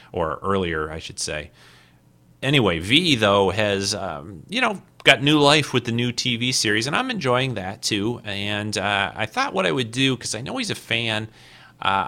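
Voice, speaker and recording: A man's voice: low voice, pushed and bright. A man hosting a podcast, chatting casually and rambling, often correcting himself as he goes. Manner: rambling, self-correcting, many restarts. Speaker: podcast host. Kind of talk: casual retro podcast talk.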